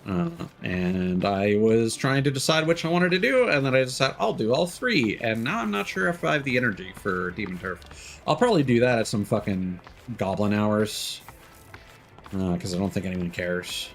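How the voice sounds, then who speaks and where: Low-voiced man rambling on a game stream. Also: lively intonation, moderate pace. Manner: rambling, thinking aloud. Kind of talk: casual livestream game commentary.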